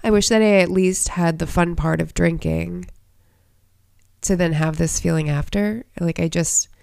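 A woman's voice: fairly low pitch, unhurried, gravelly, darkish tone, dry recording, studio quality. A woman hosting a podcast in a casual, chatty, self-deprecating way, her voice stuffy and congested. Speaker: podcast host. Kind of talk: casual chat.

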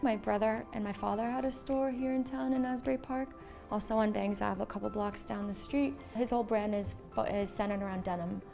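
An American woman gives casual, conversational interview answers about her own work. Her mid-pitched voice has a noticeable creak, and she sounds enthusiastic. Soft music plays underneath. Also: a little fast. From born in Slovakia, moved to the United States at seven. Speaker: small business owner, textile designer and shopkeeper.

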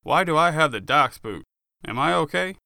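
Casting: male narrator as a stubborn, bewildered wounded gunfighter, audiobook style, bright and clear, wry and defensive. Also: a little high, expressive, normal speed, no echo, clean studio sound, pitched above the performer's own voice, exaggerated voice. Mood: incredulous, defensive.

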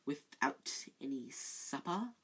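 A cold, sneering male schoolmaster speaks in slow, hushed tones, as a woman reads him out. The voice sits low and husky, drawing out each word with quiet menace. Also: big pitch swings, full character voice.

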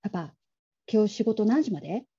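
A woman's voice: mid-range voice, expressive, brisk, warm tone, husky. A woman playing a young adult daughter who casually teases and wheedles her father in a radio drama. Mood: teasing, coaxing. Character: coaxing, teasing grown daughter pleading with her father. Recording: dull lo-fi audio.

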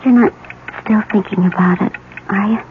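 An actress playing a gently worried, tender wife in a radio mystery drama. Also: female voice, medium pitch, fairly even intonation, normal speed, lightly acted. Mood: tender concern. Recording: tinny, very noisy old radio recording.

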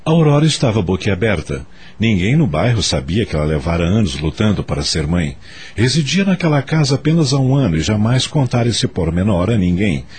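A man with a Brazilian accent narrating a scripted radio drama as an expressive storyteller. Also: fairly low pitch, brisk, husky.

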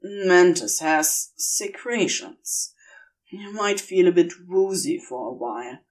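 A brusque male demon in an audiobook, played by a woman, protective and self-blaming. The pitch is dropped low and the delivery is guarded and terse. The voice is slightly back from the microphone. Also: expressive, takes it slowly, distant mic. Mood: gruffly caring. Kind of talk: acted audiobook dialogue.

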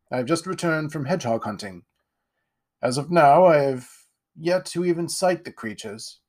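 A man's voice: on the high side, pitched above the performer's own voice. A man playing a pompous, jovial nobleman. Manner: grand, theatrical, plummy delivery.

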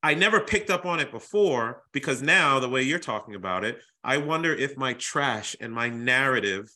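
A man from Boston hosting a podcast, with a bright and forceful voice. He chats casually and halts and repeats words while he works out what to ask. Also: medium pitch, animated. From Boston, USA. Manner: halting, repeats words while searching for phrasing. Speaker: podcast host. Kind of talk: casual interview chat.

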